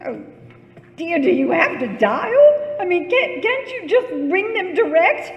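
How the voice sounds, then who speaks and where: High, swooping, anxious rich wife. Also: female voice, very animated, a little slow, full character voice. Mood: agitated. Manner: agitated, haughty, dramatic, stammering when flustered. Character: wealthy, anxious, imperious invalid wife, easily flustered. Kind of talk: 1940s radio suspense acting. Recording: echoey stage or hall, long reverb.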